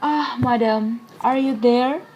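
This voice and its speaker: A woman's voice: a little high, slow pace, darkish tone, highs cut off, a little hiss or hum, pitched above the performer's own voice. A woman acting a shy, eager young man in a stage play, polite, deferential and hesitant. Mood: eager, flustered.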